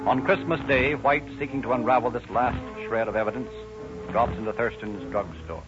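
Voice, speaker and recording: An American man announcing and narrating in formal, measured 1930s radio style. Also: mid-range voice, over music. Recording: muffled, noisy 1930s radio broadcast.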